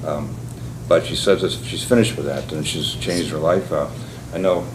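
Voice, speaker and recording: A man's voice: rumbling low, steady tone, moderate pace. A man speaking informally to a court as a defense attorney, conversational and somewhat hesitant. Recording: noisy courtroom recording.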